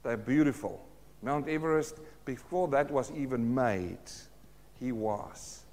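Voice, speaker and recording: Earnest pastor. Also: male voice, medium pitch, slow pace, darkish tone, big-room sound. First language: Afrikaans. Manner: earnest, addresses listeners as friends, asks rhetorical questions. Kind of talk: sermon.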